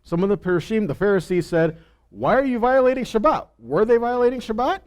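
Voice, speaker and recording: A man's voice: sits high, lively intonation, brisk. A man teaching scripture in a sermon, emphatically asking rhetorical questions, repeating phrases and answering himself.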